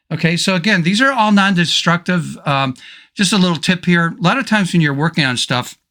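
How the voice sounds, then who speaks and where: A middle-aged man, slightly hoarse, talks through a photo edit in a relaxed way, full of little ums and restarts. His voice sounds bright and forward. He records at a desk mic in a home studio. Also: medium pitch. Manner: relaxed, thinking aloud, frequent ums and restarts. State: slightly hoarse, clearing throat. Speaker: photo editing tutor. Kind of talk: screen-recorded software tutorial.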